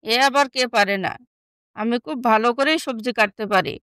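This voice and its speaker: A woman's voice: on the high side, normal speed, a bit bright, no echo, clean studio sound, lightly acted. A woman playing a humble, sincere young stepsister who sells vegetables in a moral story. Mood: meek, pleading. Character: Ayesha, humble neglected young stepsister turned vegetable seller.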